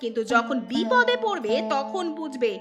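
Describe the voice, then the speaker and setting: A woman's voice: sits high, big pitch swings, normal speed. A woman voicing a sarcastic, scolding village shopkeeper's wife in a cartoon story. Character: nagging, sharp-tongued village shopkeeper's wife. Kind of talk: Bengali cartoon story dialogue. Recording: clear music bed behind voice.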